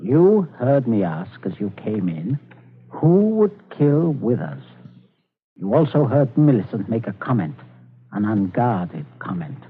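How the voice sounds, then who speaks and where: A man's voice: lowish voice, sing-song. An actor playing a middle-aged father, outwardly genial but coldly calculating and menacing, sometimes stammering, in a 1940s radio drama style. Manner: stammering when flustered, deliberate. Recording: noisy, tinny 1940s radio recording.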